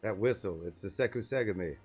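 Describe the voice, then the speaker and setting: A man's voice: fairly low pitch, fast, darkish tone, slightly rough, faint music behind. A man voicing a haughty, amused mercenary swordsman from a video game, pompous and sneering. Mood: amused disdain.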